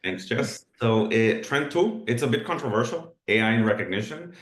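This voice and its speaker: A man's voice: mid-range voice, expressive, normal speed, echoey room. A man whose first language is Spanish, presenting a live webinar in an earnest, explanatory way. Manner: earnest, explanatory, with filler restarts.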